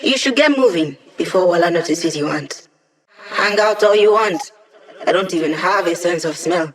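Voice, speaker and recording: A woman's voice: lowish voice, moderate pace, lightly acted. Woman playing a wry young hacker woman. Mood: sardonic, teasing. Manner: dry, teasing, sardonic. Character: wry young female hacker living as data. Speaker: video game voice actor. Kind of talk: video game character dialogue, mission comms.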